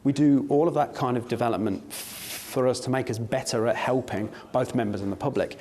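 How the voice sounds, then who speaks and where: Brief: man in a documentary interview, earnest parliamentary official, medium pitch, keen and explanatory. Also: fairly quick, darkish tone. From not New Zealand.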